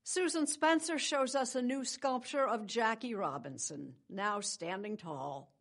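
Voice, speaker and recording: An older woman hosting a morning news program, reading scripted introductions in a measured, polished way. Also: a little high, creaky, soft background music. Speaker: news show host. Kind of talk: scripted broadcast narration.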